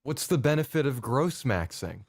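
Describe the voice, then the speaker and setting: A man's voice: medium pitch, very animated, moderate pace, no room sound, studio quality. A man co-hosting a stream, firing off incredulous questions and swearing often. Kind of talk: casual livestream chat.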